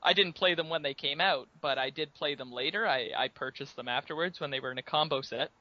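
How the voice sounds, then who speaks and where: Canadian podcast co-host, bright young-sounding man, upbeat and chatty, lo-fi audio. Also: a little high, a little fast, no echo. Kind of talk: casual gaming chat.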